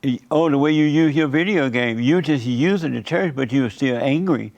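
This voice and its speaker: A man's voice: medium pitch, moderate pace, gravelly, a little mellow. A man hosting an interview and giving preacher-like counsel in a blunt, assured and insistent way, repeating his points. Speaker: talk show host.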